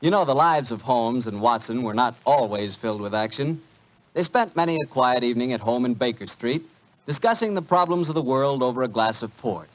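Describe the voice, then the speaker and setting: American 1940s radio announcer, mid-pitched man. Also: animated, brisk, low-quality recording.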